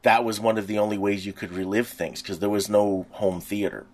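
A man's voice: fairly low pitch, steady tone, fairly quick, a little mellow. A middle-aged man co-hosting a podcast, chatting casually in a talkative, rambling, anecdotal way. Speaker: podcast co-host. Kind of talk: casual reminiscing chat.

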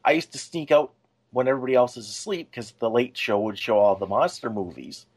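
A man's voice: mid-range voice, fairly quick. A man co-hosting a podcast, talking casually and explaining his thoughts in a conversational way. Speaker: podcast co-host.

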